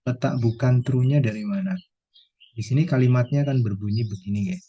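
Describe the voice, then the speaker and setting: Male lecturer, Indonesian accent, low mellow voice, didactic question-and-answer delivery for a lesson. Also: low-quality recording. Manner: didactic, poses questions then answers them. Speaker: university English lecturer. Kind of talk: explanatory lesson, going through quiz answers.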